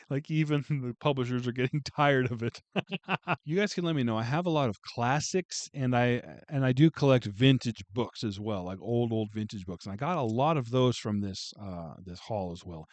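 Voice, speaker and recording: A man's voice: medium pitch, no echo. Man, casual vlogger, joking asides, reading book titles, dry close sound. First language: English. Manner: chatty, joking asides to viewers. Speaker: booktube vlogger. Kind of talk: casual book haul chat.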